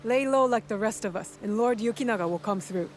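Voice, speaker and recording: A woman voicing a determined heroine who questions lords directly and calmly in game cutscenes. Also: on the high side, a little fast, a little hiss or hum, close to an everyday voice. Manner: direct, probing and calm. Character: cool determined female shinobi heroine. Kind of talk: video game cutscene acting.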